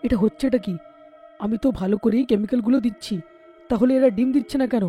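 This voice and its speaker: A man voicing a greedy, scheming young poultry seller with a wheedling manner in an animated horror folk tale. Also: extremely high pitch, fast, soft background music. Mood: frustrated, pushy.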